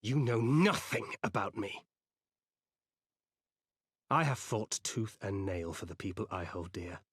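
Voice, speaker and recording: A low, husky, grim warrior. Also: male voice, animated, a little slow, no room sound, studio quality. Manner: blunt, grim. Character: stern, world-weary warrior burdened by failure. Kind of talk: dramatic video game cutscene acting.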